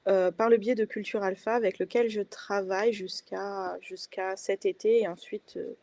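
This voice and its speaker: A woman with a mellow, dark tone introduces herself on a video call. She keeps it brief and hesitant, with pauses between her words. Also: mid-range voice, fairly even intonation, no echo. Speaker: French teacher.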